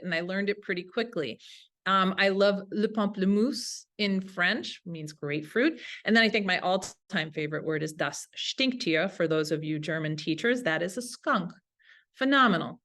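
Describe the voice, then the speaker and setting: A woman's voice: medium pitch, animated, normal speed, a bit bright. A woman teacher giving an instructional webinar talk to colleagues in an enthusiastic, informal way. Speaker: language teacher presenting a webinar.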